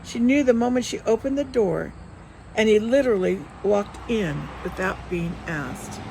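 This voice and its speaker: A woman reads fiction aloud in an expressive, dramatic way. Her voice is medium in pitch with a noticeable creak. There is faint ambient noise behind her, with crickets. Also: normal speed, rough recording. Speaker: author reading own novel aloud. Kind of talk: expressive audiobook-style narration.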